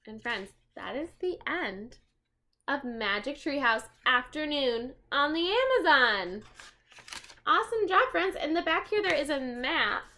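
A young woman reads a storybook aloud for children. Her voice is bright and very lively in pitch, and she chats to her listeners in an animated, expressive way. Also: measured pace. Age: young adult. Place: small furnished room at home.

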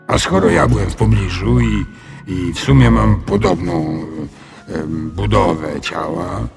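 A big, bumbling dragon from a children's audio story, played by a man. He drawls slowly in a gravelly, swooping voice, friendly but sheepish and hesitant. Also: sits low, lively intonation, over loud music, full character voice.

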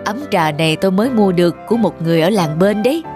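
A woman voicing a kindly, calm older male antique collector in a moral folk-tale cartoon, measured and gently instructive. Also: on the low side, music in the background, natural voice. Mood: gracious, calm.

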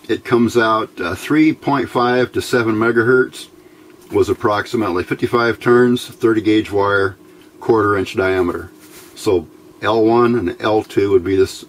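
A middle-aged American man giving an informal, unscripted technical explanation in a plain-spoken, folksy, halting way, recorded on a camera microphone at a workbench. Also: fairly low pitch. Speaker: electronics hobbyist presenter. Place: indoors at a workbench. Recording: noisy home-made camera audio.

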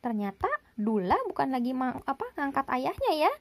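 A young woman reads a picture book to a child in a high, swooping voice. She is expressive and often pauses to ask questions. The sound is close and dry. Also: no room sound. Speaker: parent reading aloud to a child. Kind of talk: animated picture-book read-aloud with asides to a child.

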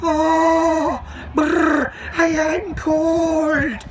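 A man voicing a timid, plaintive little dragon in a storybook, high-pitched and theatrical. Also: level tone, very slow, full character voice, low-quality recording. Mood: shivering, wailing. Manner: wailing, theatrical.

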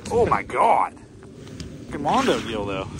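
A man in his thirties filming his ice-fishing trip in a tent on a frozen lake, talking casually and excitedly with plenty of slang and swearing, recorded on a handheld action camera. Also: mid-range voice, measured pace. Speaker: fishing vlogger. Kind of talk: casual outdoor vlog. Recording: noisy outdoor camera audio.

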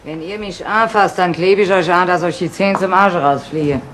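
An actress playing a defiant, foul-mouthed masseuse in a 1970s crime radio play, brash and slangy, snapping at people. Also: female voice, on the low side, moderate pace, a bit bright, close to an everyday voice, rough recording. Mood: aggressive. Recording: old radio recording, slight noise.

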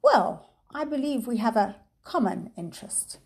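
A woman voicing a sinister smooth-talking professor villain. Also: fairly high pitch, lively intonation, unhurried, pitched above the performer's own voice. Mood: falsely pleasant. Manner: pleasant tone turning to threats and shouting.

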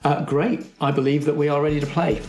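A man's voice: a little high, little pitch movement, quick pace, darkish tone, soft background music. Man hosting a role-playing podcast. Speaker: game master, host and producer.

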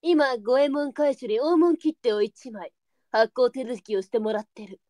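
A woman's voice: a little high, moderate pace, mellow, no echo, clean studio sound, natural voice. A woman playing a worldly, firm but kindly brothel madam, composed and matter-of-fact, in a Japanese period audio drama. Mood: composed, advising.

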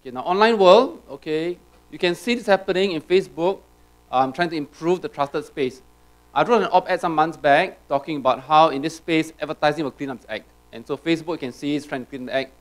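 A man's voice: fairly high pitch, rough recording. A man giving a conference talk in a large auditorium, peppering his points with "right?" and restarting his sentences. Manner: rapid, tag questions, frequent restarts. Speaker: conference speaker.

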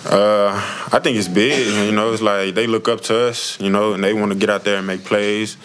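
Man in early twenties, low-pitched, plain-spoken, athlete interview, tabletop mic, light room noise. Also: steady tone, normal speed, a bit bright. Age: early 20s. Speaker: college football player. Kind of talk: post-game press conference answers. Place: indoor press conference room. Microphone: tabletop microphone. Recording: noisy press room.

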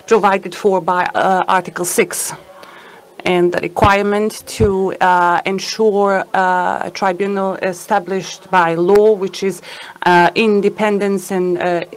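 A woman's voice: mid-range voice, level tone, unhurried, clean tone, poor audio. A woman giving live simultaneous interpretation of a conference talk, hesitant with fillers and an uneven pace.

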